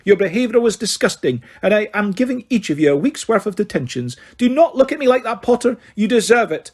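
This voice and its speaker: A man's voice: high voice, big pitch swings, fairly quick, a little mellow, voice pushed up. A man voicing a stern, strict older female professor who scolds in a clipped, furious way.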